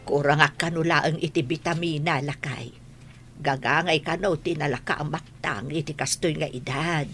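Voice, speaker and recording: An actress playing a worried, tired elderly wife in an Ilocano radio drama. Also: female voice, low voice, moderate pace, fairly bright tone, faint music behind.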